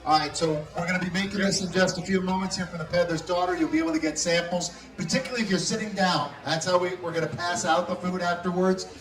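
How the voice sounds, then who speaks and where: A man's voice: high-pitched, steady tone, quick pace, few pauses, fairly bright tone. Upbeat man hosting a festival stage. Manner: upbeat, promotional, announcer-like. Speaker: radio and TV food show host. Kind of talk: live event hosting. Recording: echoey PA sound from far off.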